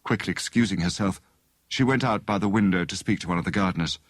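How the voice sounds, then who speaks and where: A man's voice: low voice, fast talker, no echo. A man giving scripted literary narration for an audiobook.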